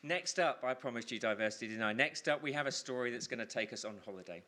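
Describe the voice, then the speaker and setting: A man's voice: medium pitch, lively intonation, fast talker, bright, forceful tone. A man compering a live storytelling event, introducing acts with emphatic, repeated phrases.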